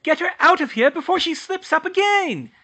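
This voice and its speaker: A man's voice: extremely high pitch, big pitch swings, moderate pace, pitched above the performer's own voice, exaggerated voice. A man reading aloud voices a scornful, shrieking elderly great-aunt in a storybook. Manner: sneering, shrieking insults.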